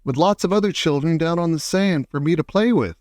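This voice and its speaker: An eager young boy from a children's storybook, put on by a male reader, speaks full of hope. The voice is pitched up, in a dry, close sound. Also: brisk, darkish tone, no room sound, close to an everyday voice.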